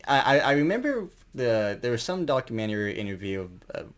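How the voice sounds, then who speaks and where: A man's voice: mid-range voice, very animated, fairly quick. A man giving a casual, unscripted album review as an enthusiastic fan, rambling and colloquial, with some mild swearing.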